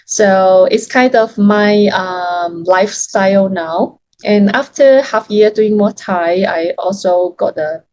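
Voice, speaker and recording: Young Chinese-native woman guest, mid-pitch, halting English full of restarts, faint rumble in the background. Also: darkish tone. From Yunnan, China. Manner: hesitant, many restarts and fillers, laughs often. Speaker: guest, freelance Chinese teacher. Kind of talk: casual interview chat.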